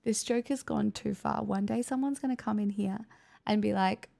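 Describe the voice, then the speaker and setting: A woman's voice: mid-range voice, moderate pace, creaky, mellow. A young woman hosting a casual livestream, chatting, joking and swearing as she answers messages from chat. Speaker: livestream host reading chat.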